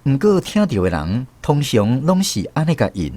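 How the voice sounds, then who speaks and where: A man's voice: mid-range voice, sing-song, moderate pace, dark tone. A man with a Taiwanese accent narrating a scripted first-person story as a radio drama storyteller.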